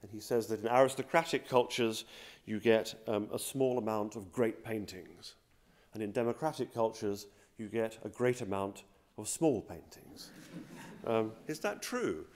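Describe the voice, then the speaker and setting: Low-voiced British man chairing a panel. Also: normal speed, a little mellow. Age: middle-aged. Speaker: academic host chairing a panel. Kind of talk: formal introduction to a symposium. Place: university lecture hall. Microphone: lectern microphone.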